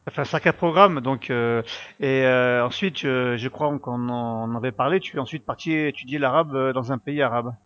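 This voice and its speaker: A man's voice: mid-range voice, moderate pace, a bit bright, dull audio. Polite male podcast host. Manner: polite, structured questioning. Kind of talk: podcast interview.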